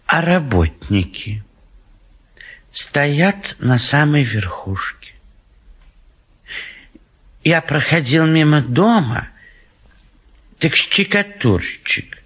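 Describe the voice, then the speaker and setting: An actor playing a timid, indecisive middle-aged suitor in a classic stage comedy, hesitant and evasive, with a husky voice. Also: male voice, on the high side, big pitch swings, very slow, pauses often, a bit bright, full character voice. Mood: shy politeness. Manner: hesitant, polite, dithering.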